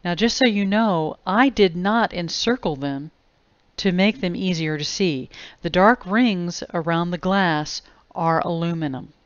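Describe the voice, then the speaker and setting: A woman delivering scripted explanatory narration in a grave, deliberate manner. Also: lowish voice, dry recording. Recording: dull lo-fi close dry voice-over.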